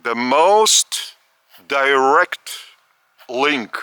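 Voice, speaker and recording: A man from Lithuania, giving a spiritual talk outdoors in the park of an old estate, speaking slowly in short, halting phrases. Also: medium pitch, expressive, pushed and bright, clear, resonant tone, no room sound. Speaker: spiritual teacher.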